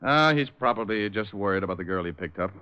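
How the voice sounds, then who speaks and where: Deep-voiced wisecracking private eye played by an actor. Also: male voice, quick pace, clean tone, close to an everyday voice. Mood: businesslike. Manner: wry, flirtatious, deadpan. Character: wisecracking tough male private detective. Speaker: lead actor and narrator of a detective radio show. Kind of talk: old-time radio noir acting with first-person narration. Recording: dull old radio recording, music behind.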